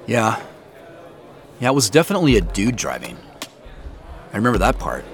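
A man's voice: on the low side, wildly swooping pitch, moderate pace, vocal fry, exaggerated voice. An actor playing a casual, self-deprecating man under questioning in an audio drama, speaking informally and a little guardedly. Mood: guarded, apologetic. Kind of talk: naturalistic audio drama dialogue.

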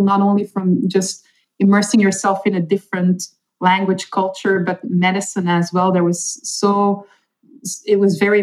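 A woman's voice: medium pitch, rough recording. A middle-aged woman from Belgium with a Flemish accent, a native Dutch speaker, guest on an interview podcast, telling her story earnestly and thoughtfully with small fillers. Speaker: chief medical officer, guest.

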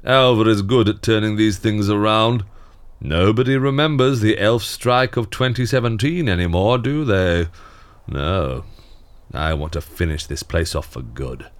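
A world-weary Santa Claus in a scripted audio comedy. His voice sits fairly low, and he grumbles drily like someone thoroughly fed up with his job and wanting out. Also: lively intonation, measured pace. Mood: weary, resolute.

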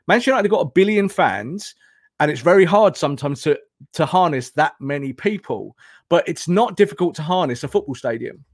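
A man's voice: a little high, lively intonation, a little fast, no echo, clean studio sound. A man talking as a football pundit in an impassioned, opinionated discussion, emphatic and full of rhetorical questions and repetition.

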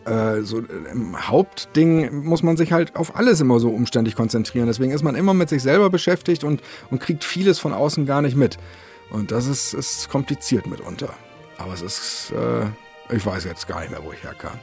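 Husky guy hosting a casual podcast, rambly and self deprecating, mid pitched, bit of music behind. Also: expressive, fairly quick, darkish tone. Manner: rambling, self-deprecating, jumps between thoughts. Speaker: podcast host.

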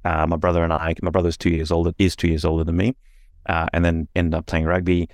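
A man from Singapore talking as an interview guest, halting and self-deprecating, laughing at himself. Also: deep voice, monotone, a little mellow, dry recording, clean studio sound. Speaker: podcast guest. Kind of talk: casual interview conversation.

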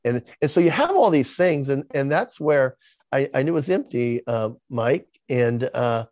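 A man's voice: mid-range voice, lively intonation, normal speed, darkish tone, dry recording, clean studio sound. A man in his sixties with a lisp, candidly telling his life story as an interview guest, often repeating words as he starts a sentence. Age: 60s. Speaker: podcast guest.

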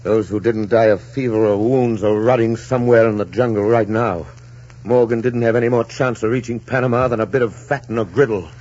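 An actor playing a blunt, disgruntled deserter with a husky voice in a 1940s radio drama. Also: male voice, lowish voice, fairly even intonation, a little fast, darkish tone, lightly acted. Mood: grim. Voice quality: husky, rough. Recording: dull 1940s radio recording.

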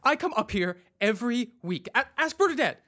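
An actor playing a frightened man who is cornered and pleading in panic, his voice shrill and bright. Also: sing-song, brisk, soft background music, exaggerated voice. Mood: panicked.

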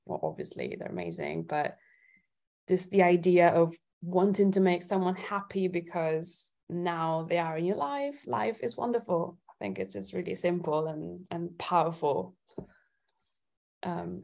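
A woman's voice: fairly low pitch, unhurried, creaky, darkish tone. A woman from Italy chatting casually and a little giggly as an interview guest, feeling her way through her phrases. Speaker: interview guest, singer-songwriter.

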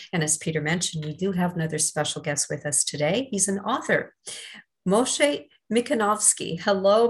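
Welcoming female podcast co-host. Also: fairly low pitch. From Canada. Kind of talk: friendly interview hosting.

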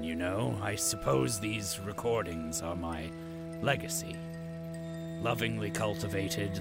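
Over music, a fussy, bitter old propagandist narrator, heavily creaky and swooping in pitch. He speaks in grand, theatrical phrases, like a pompous broadcast in an audio drama. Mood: wistful.

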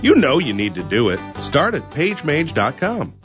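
Male ad voice, conversational script, lively swooping intonation, faint music underneath. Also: a little high, normal speed, muffled recording. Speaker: advertisement announcer.

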